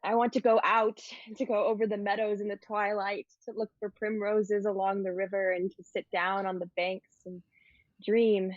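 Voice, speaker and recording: A woman's voice: mid-range voice, flat delivery, normal speed, dry recording, muffled recording, lightly acted. Frightened, naive teenage girl, played by a woman. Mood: wistful. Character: frightened, naive, ailing fourteen-year-old girl. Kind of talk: dramatic reading of a 19th-century play.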